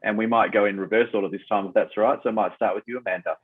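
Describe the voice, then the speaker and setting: A man's voice: on the low side, steady tone, very fast, breathy edge. A man chairing an online panel discussion, moderating and handing over to speakers. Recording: tinny phone-like online call audio.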